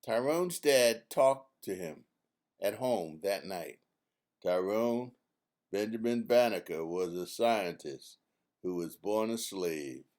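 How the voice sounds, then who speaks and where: A man reading his own children's book aloud as an expressive, emphatic storyteller. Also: on the low side, slow pace.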